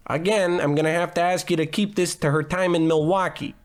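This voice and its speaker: An actor playing a wisecracking, cheap private detective with a deadpan, hard-boiled delivery in 1940s radio noir parody. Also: male voice, fairly high pitch, fairly quick, natural voice. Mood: wry. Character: wisecracking, down-on-his-luck male private detective.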